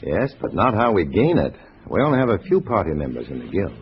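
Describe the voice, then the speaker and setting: Deep-voiced, hard-boiled undercover agent. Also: male voice, wildly swooping pitch, quick pace. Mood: matter-of-fact. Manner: terse, dry, wry. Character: hard-boiled undercover male agent posing as a communist comrade. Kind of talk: 1950s radio drama acting and first-person narration. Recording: muffled vintage radio recording, faint hiss.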